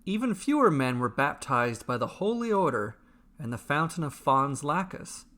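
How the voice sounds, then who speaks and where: Bright-voiced man narrating a fantasy tale. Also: on the high side, big pitch swings. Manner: measured, dramatic, short deliberate phrases. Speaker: storyteller. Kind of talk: scripted fantasy bedtime narration.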